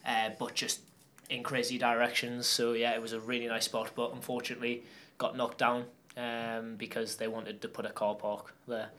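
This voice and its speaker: Young Geordie man with a flat voice. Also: medium pitch, normal speed, slight background noise. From Newcastle upon Tyne, England. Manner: rambling, lots of fillers and restarts. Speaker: guest athlete. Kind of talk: casual interview chat.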